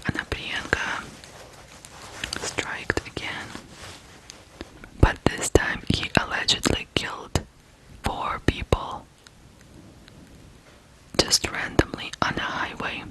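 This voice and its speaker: An ASMR host from Ukraine telling a true crime story in a hushed, deliberate whisper. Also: rough recording.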